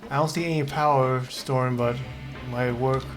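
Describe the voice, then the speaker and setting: A man giving casual gameplay commentary as a streamer, halting and thinking aloud as he goes. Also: medium pitch, steady tone. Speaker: game streamer. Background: game music.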